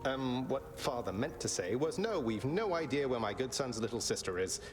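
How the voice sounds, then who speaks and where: An actor playing a cocky young prince of the gods in a video game, with a wry, nonchalant manner. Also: male voice, mid-range voice, lively intonation, moderate pace, natural voice. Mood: light, cheeky. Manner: wry, nonchalant, politely cheeky. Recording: loud music behind the voice. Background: loud game music.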